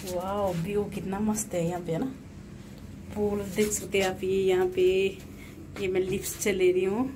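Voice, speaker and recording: A woman's voice: medium pitch, level tone, moderate pace, background noise. A woman in her thirties filming a travel vlog outdoors, enthusiastically showing off a mountain hotel and mixing English words into her talk. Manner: enthusiastic, exclaims often, mixes in English words. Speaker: travel vlogger. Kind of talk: casual travel vlog tour. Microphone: phone camera held at arm's length.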